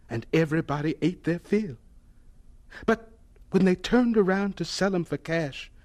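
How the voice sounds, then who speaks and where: An actor playing a devout, humble elderly scientist in a radio drama, husky and deliberate, absorbed in talking with God. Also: male voice, a little high, a little mellow.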